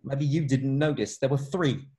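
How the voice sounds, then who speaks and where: A man's voice: mid-range voice, moderate pace, dark tone, natural voice. A man playing an eager, easily alarmed young schoolboy wizard in an amateur table read. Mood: indignant.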